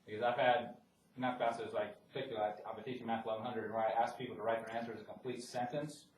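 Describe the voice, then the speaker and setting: A man's voice: medium pitch, level tone, fast, a little hiss or hum. A man teaching a math class, talking in a loose, conversational way with frequent restarts and fillers. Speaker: math lecturer. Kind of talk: classroom lecture. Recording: roomy classroom audio from a distant mic.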